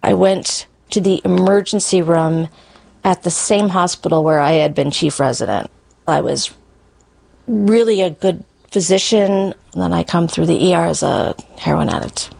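A woman's voice: fairly low pitch, normal speed, gravelly, darkish tone, husky. A woman, a former physician, speaking candidly and reflectively in an interview.

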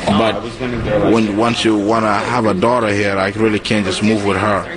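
Man in a loud crowd. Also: lowish voice, normal speed. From South Sudan. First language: Acholi. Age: 20s. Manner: relaxed, reflective, frequent "you know". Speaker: interviewee, cosmetology student. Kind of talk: casual interview talk. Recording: field recording with loud crowd chatter.

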